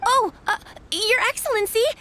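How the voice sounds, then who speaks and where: A woman playing an excitable, curious young islander in a video game, eager and a little flustered. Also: very high voice, moderate pace, a little hiss or hum. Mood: excited, curious.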